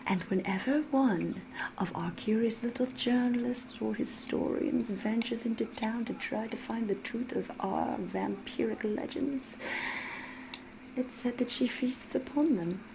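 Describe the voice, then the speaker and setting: A woman voicing a flirtatious, menacing vampire in an ASMR roleplay, refined and theatrical, with drawn-out phrases slipping into breathy whispers. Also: a little high, background noise. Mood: coyly amused. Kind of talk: ASMR roleplay, scripted character monologue.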